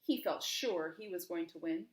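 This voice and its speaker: A woman's voice: fairly low pitch, moderate pace. A woman reading a children's storybook aloud expressively, with emphasis and questions put to young listeners. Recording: roomy, echoing room.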